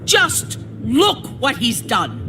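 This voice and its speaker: Slow, high-voiced, ruthless leader. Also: female voice, huge pitch swings, heavy background noise, heavily acted. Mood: mock sorrow. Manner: theatrical, menacing, mock-sincere. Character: cold, ruthless woman leader of a survivor town. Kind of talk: dramatic video game acting.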